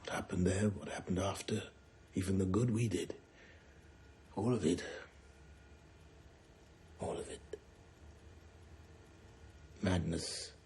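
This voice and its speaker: A man playing a weary, disillusioned Soviet scientist recording a secret confession in a screen drama, hushed, halting and resigned. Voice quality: hushed, low. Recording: faint noise, distant cassette-tape monologue.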